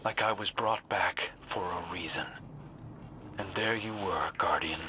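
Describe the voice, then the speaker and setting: An actor playing a reserved, earnest young outcast warrior in a video game, speaking in a measured, reflective and confiding way. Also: male voice, low voice, background noise. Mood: wistful, confiding. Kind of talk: cinematic video game dialogue.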